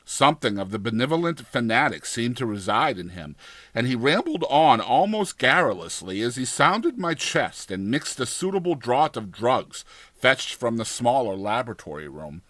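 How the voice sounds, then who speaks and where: A man reading a gothic horror story as a dramatized audiobook, with deliberate, theatrical delivery and formal, dramatic pauses. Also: medium pitch, very animated, a bit bright, no room sound. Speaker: audiobook narrator.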